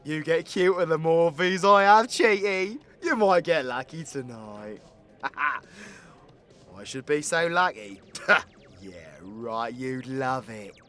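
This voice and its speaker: A man's voice: mid-range voice, lively intonation, extremely slow, pushed and bright, faint music behind, exaggerated voice. A British man playing a cocky, foul-mouthed supervillain in an audio roleplay, with a crude, playful, conversational swagger. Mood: tipsy, teasing. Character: cocky, foul-mouthed male supervillain, orphaned, teasing his drinking partner. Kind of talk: audio roleplay addressed to the listener.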